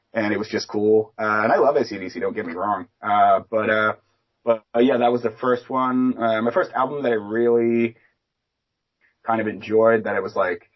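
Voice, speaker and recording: A young adult man from Philadelphia, a musician guest chatting casually and rambling with lots of fillers and restarts. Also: lowish voice. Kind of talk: casual interview chat. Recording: dull lo-fi remote audio.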